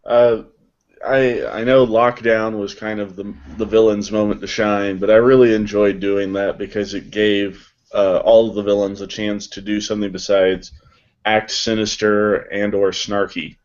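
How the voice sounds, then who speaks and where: A man's voice: lowish voice, level tone, unhurried, darkish tone. A man speaking as a voice actor in a casual panel chat, recorded over a lo-fi connection. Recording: dull lo-fi voice call.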